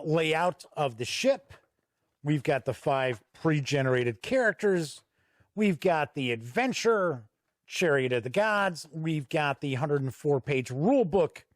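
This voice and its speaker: A man giving a casual product walkthrough as a reviewer, conversational and halting with frequent pauses. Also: on the high side, no room sound, clean studio sound. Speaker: tabletop game reviewer and host.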